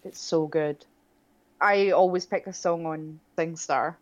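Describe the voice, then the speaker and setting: A woman's voice: low-pitched, steady tone, a little slow. A young woman co-hosting a podcast, chatting casually with a wry, self-deprecating humour. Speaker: podcast co-host. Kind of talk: casual chat. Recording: dull lo-fi sound.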